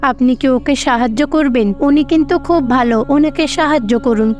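A compassionate, motherly goddess voiced by a woman in a cartoon myth, high in pitch. She pleads gently and respectfully, over faint music. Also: normal speed, muffled recording, lightly acted. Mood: pleading.

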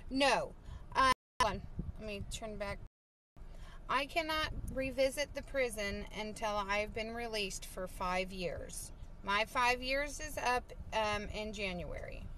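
A woman's voice: a little high, pushed and bright, a little hiss or hum, poor audio. A woman in her thirties chatting casually on a live stream from a parked car, reading viewer comments with lots of fillers, recorded on a phone at arm's length. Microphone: phone held at arm's length.

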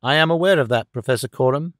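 A man voicing a calm, assured gentleman detective in an audiobook story, with measured and precise speech. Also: mid-range voice, no room sound, studio quality, lightly acted. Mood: calm, certain.

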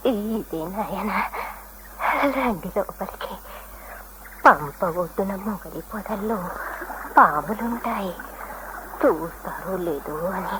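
Devoted old female hermit, noisy recording. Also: medium pitch. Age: older. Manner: tender, reverent, emotional delivery. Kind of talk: classical Indian radio drama acting.

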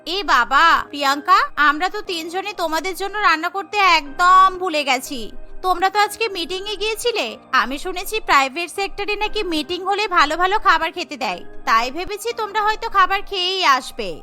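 A woman's voice: very high voice, expressive, moderate pace, bright, forceful tone, soft background music, exaggerated voice. A woman voicing a smug, sugary young daughter-in-law in a cartoon, coaxing and condescending. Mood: mock apologetic.

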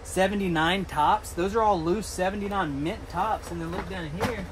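A man in his thirties filming himself in a metal storage unit, giving excited running commentary as he digs through boxes of collectibles. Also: high voice, normal speed. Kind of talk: casual vlog narration. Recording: noisy storage unit ambience.

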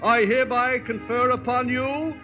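An actor playing a flustered but kindly older humbug wizard, blustering grandly in 1950s radio drama style. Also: male voice, extremely high pitch, steady tone, moderate pace, pushed and bright, faint music behind. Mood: pompous, kindly.

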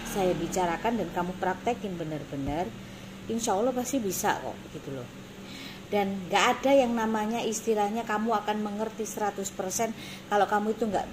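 East Javanese woman, emphatic, noisy phone recording. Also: lowish voice. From Indonesia. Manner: emphatic, repetitive, blunt explaining. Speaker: beauty salon owner and course teacher. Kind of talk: casual vlog talking to camera. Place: small indoor salon office. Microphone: phone at arm's length.

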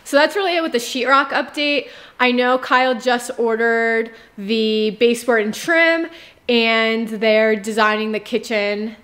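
A woman's voice: a little high, steady tone, measured pace, a bit bright, roomy sound. A woman in her thirties giving a casual vlog walkthrough of unfinished rooms, speaking to a handheld camera. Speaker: host, house renovation vlogger. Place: indoors, empty unfinished rooms. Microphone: handheld camera at arm's length.

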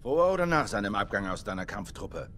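An actor playing a gruff, distrustful middle-aged male smuggler in a video game, sceptical in manner. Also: lowish voice, big pitch swings, a little fast, a bit bright, slight background noise. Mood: sceptical, annoyed. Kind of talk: dramatic video game acting, German dub.

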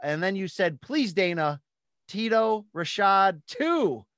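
A man in his forties hosting a sports podcast, recapping and asking questions with emphatic, animated delivery into a close studio mic. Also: sits high, takes it slowly, clear, resonant tone, dry recording. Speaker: podcast host. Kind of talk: sports talk recap and interview. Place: small home studio room. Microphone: close studio microphone on boom arm.

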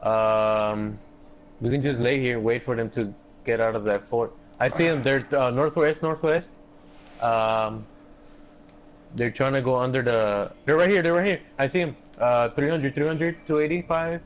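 A man's voice: medium pitch, unhurried. A young man playing a team video game, making casual, repetitive callouts to his teammates over voice chat. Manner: repeats short callouts. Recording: dull, noisy game voice chat.

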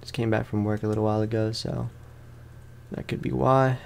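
A man's voice: on the low side, flat delivery, brisk, gravelly. A young man, sounding tired, gives casual let's play commentary as a gaming host, rambling along in slangy, informal speech.

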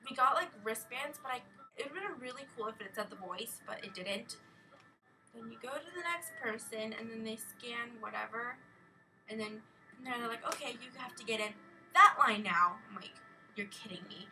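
A teenage young woman tells a story casually in a rambling, animated way, peppered with "like". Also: a little high, normal speed. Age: teens, a minor. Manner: rambling, animated, heavy use of "like". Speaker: vlogger. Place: small carpeted bedroom, indoors. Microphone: webcam at desk distance. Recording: slightly distant webcam mic, faint noise.

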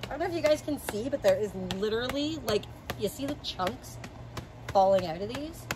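A woman's voice: on the high side, lively intonation, fairly quick. Chatty woman vlogger with noisy audio. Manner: chatty, informal, thinking aloud. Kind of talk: casual chatty how-to vlog.

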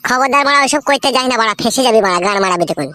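Man doing a squeaky, fast-scolding cartoon buddy. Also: lively intonation, bright, forceful tone, full character voice. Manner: foul-mouthed, hectoring. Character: scolding, exasperated young male friend. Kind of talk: crude comedy cartoon dialogue.

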